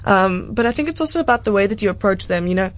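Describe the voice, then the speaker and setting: A woman's voice: mid-range voice, expressive, fast talker, rough recording. A South African woman in her twenties talking casually and informally as an interview guest. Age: 20s. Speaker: singer-songwriter guest.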